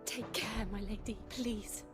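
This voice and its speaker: Brief: actress as a slow, soft-spoken young woman, earnest and resolute, game scene. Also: a little high, fairly even intonation, over music, natural voice. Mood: tender. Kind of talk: video game cutscene acting.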